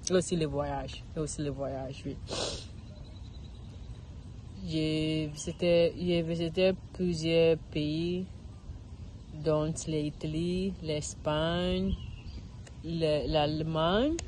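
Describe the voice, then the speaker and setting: A woman's voice: sits low, fairly even intonation, extremely slow, pauses often, a little mellow, slight background noise, poor audio. A woman, recording a casual practice monologue outdoors in a park, searching for words and restarting often. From Ghana. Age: 30s. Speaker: vlogger learning French.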